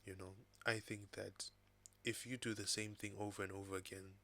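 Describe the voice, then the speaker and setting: A man with a low, gravelly voice, rough and raspy while he gets over an illness. He talks in an even, level tone, thinking aloud at his desk in a loose, casual way. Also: moderate pace. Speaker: vlogger. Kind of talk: casual talking-head vlog. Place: home desk with laptop and second screen.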